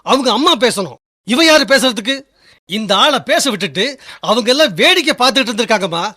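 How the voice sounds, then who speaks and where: A high, bright male voice plays an indignant son in a radio drama. He is proud and agitated, pressing his point insistently. The sound is close and dry. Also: expressive, fairly quick, dry recording, full character voice. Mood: aggrieved, insistent. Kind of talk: Tamil radio drama acting.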